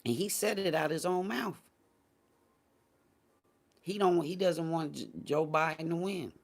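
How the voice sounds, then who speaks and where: A man's voice: on the high side, a little slow, vocal fry. An American man hosting a casual livestream talk show, speaking in a blunt, slangy, dismissive way. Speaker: host of a morning talk show.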